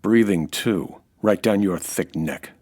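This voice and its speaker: A man's voice: low voice, slow pace, a little mellow. A man playing a wry American nightclub owner and sleuth in old-time radio noir style, dry, tough and slangy. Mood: smug, menacing.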